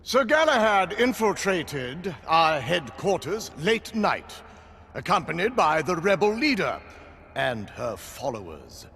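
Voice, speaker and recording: A man playing a wounded aristocratic lord in a video game, accusing formally in a very husky, labored voice. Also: fairly high pitch, sing-song, slow, a bit bright, heavily acted. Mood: cold, accusing. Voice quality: very husky, breathy. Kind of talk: period drama game acting. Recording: long hall reverb.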